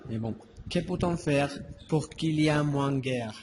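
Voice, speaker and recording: A man asking interview questions in slow, formal phrasing. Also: fairly high pitch, noisy background. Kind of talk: reading prepared interview questions.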